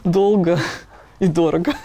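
A woman's voice: on the low side, steady tone, measured pace, dark tone. A woman in her thirties giving casual interview answers in an informal, emphatic way. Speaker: animation maker, interviewee. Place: office room on a sofa, indoors.

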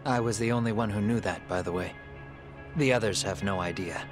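An actor playing a grave, calculating middle-aged man in a video game drama, speaking in a measured, deliberate way. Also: lowish voice, music in the background, lightly acted. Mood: solemn. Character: composed middle-aged male fugitive avenger, calculating and grave.

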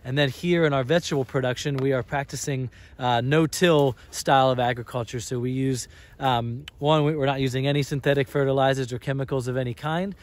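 A man's voice: mid-range voice, fairly even intonation, brisk. A young man, a farmer, giving an earnest on-site tour outdoors on a snowy field, explaining with frequent fillers. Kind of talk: on-site farm tour interview.

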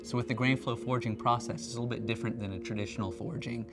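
A man's voice: medium pitch, fairly even intonation, fast talker. A man in his thirties giving a conversational, explanatory promotional talk as a company presenter. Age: 30s. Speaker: company R&D representative, factory tour presenter. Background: background music.

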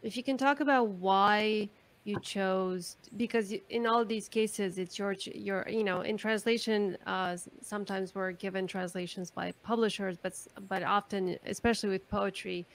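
A woman's voice: medium pitch, steady tone, normal speed. A woman moderating a video call panel, casual and restarting her sentences as she puts a question.

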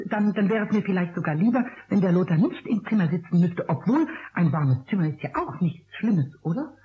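A man voicing a childlike, mischievous, whiny little kobold in a radio play, squeaky and stammering. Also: lively intonation, a little fast. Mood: sheepish. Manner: stammering, pleading, cheeky. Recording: dull, noisy old radio play recording.

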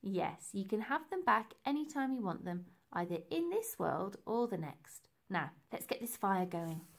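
A woman voicing a bossy, quick-witted young girl in a storybook, speaking in a brisk, emphatic, instructing way. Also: mid-range voice, very animated, creaky, heavily acted. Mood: confident, matter-of-fact.

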